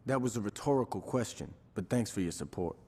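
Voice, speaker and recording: A man's voice: on the low side, lively intonation, a little fast, warm tone, slight background noise, close to an everyday voice. A man playing a laid-back, sardonic gang lieutenant in a video game. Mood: wry, casual. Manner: casual, sardonic. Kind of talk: video game cutscene acting. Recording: long hall reverb.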